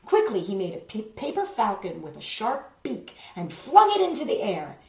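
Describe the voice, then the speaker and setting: A woman reading a picture book aloud to children, expressive and dramatic, pausing for asides to show the pictures. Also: mid-range voice, gravelly. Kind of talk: animated children's story reading with asides to viewers. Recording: roomy, dull lo-fi web video.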